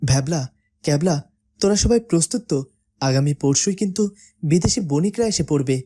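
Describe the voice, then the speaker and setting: A woman's voice: low-pitched, lively intonation, normal speed, warm tone, husky. A woman playing a dutiful, kind young prince in a cartoon fairytale. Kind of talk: cartoon character acting.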